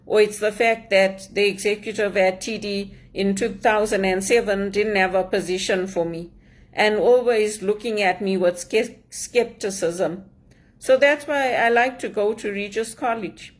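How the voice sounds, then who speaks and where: A woman's voice: mid-range voice, a little slow. A woman from Zimbabwe in an earnest personal monologue, aggrieved, repeating phrases for emphasis. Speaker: immigrant sharing her story.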